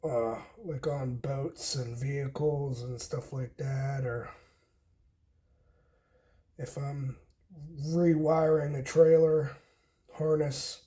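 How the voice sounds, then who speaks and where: Slow-talking man giving a casual tutorial. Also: mid-range voice, fairly even intonation, warm tone. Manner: informal, step-by-step explaining in short pieces, often while working. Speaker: host of a DIY homestead channel, demonstrating wiring.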